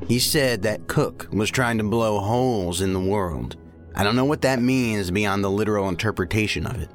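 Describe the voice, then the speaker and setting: An actor playing a blunt, stubborn special agent in an audio drama. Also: male voice, fairly low pitch, normal speed, lightly acted. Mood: pensive, puzzled. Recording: faint music behind the voice.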